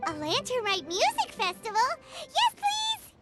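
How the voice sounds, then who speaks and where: A woman voicing a small, cheerful, childlike fairy companion in an anime game, perky and squeaky. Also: huge pitch swings, unhurried, over loud music, exaggerated voice. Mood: excited. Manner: perky, eager.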